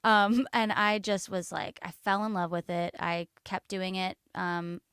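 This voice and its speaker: A woman's voice: fairly low pitch, level tone, a little slow, fairly bright tone, smooth, no room sound. A woman in her thirties from Florida, a singer chatting as a guest in a podcast studio, laughing often and filling with ums. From Florida, USA. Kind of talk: casual interview chat.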